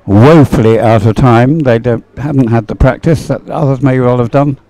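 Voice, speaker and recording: A man's voice: medium pitch, moderate pace, mellow, no echo. A man giving unscripted live race commentary in an understated way, thinking aloud as he goes. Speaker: sports commentator.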